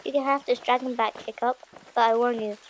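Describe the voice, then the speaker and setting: A woman's voice: a little high, steady tone, fairly quick, slight background noise, natural voice, rough recording. A woman voicing a gloomy, sarcastic young Viking boy who complains bitterly in an audiobook drama. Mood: bitter complaint. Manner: bitter, weary, miserable complaining. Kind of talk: audiobook drama character acting.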